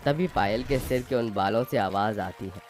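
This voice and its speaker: A man's voice: fairly low pitch, moderate pace, darkish tone. Male storyteller over faint music. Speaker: story narrator. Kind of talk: scripted storytelling narration for cartoon moral tales.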